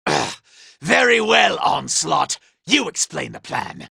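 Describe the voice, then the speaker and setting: A male actor playing a vain, scheming, power-hungry robot commander in a video game, imperious and theatrical, with a raspy, gravelly voice. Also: on the high side, big pitch swings, takes it slowly, bright, forceful tone, no room sound, studio quality, exaggerated voice. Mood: indignant. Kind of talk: video game villain voice acting.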